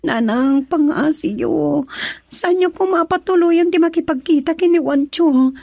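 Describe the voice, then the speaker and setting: A distressed young daughter in a radio drama, super high voice, pleading, dry sound. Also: female voice, a little slow, vocal fry, warm tone, no echo, full character voice. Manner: pleading, emotional.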